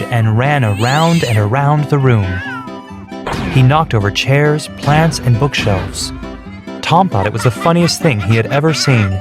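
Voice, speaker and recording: Male storybook narrator over music. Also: mid-range voice. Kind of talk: scripted children's story narration.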